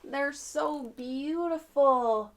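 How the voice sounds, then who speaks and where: A woman's voice: sits high, extremely slow. A woman hosting a children's storytime, reading a picture book aloud expressively with gasps of wonder.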